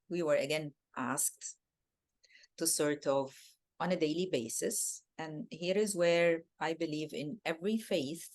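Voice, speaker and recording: Woman, Egyptian accent, native Arabic speaker, low voice, soft, repeats words while thinking. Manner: soft-spoken, searching for words, repeats herself. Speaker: guest. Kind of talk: reflective interview answers.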